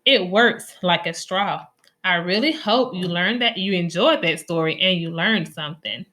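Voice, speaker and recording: A woman reading a children's nonfiction story aloud in a deliberate, instructional way for young listeners. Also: fairly low pitch, bright, forceful tone.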